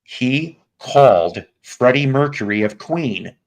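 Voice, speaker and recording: A man with a raspy, hoarse voice hosting a music podcast, joking and rambling through tangents in casual chat. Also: mid-range voice, lively intonation, takes it slowly, rough recording. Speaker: podcast host.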